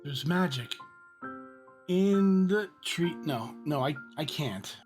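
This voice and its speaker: Man playing a reluctant skeptical husband, audio drama, swooping pitch, slow delivery, music behind. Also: animated. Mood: anguished. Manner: grumbling, hesitant, emotive. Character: Colin, skeptical, reluctant husband and father, stubbornly logical.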